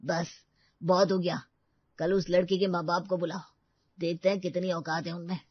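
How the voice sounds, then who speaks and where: A woman's voice: lowish voice, little pitch movement, moderate pace, slightly rough, no room sound, dull audio. A woman voicing a stern, scornful parent in a story.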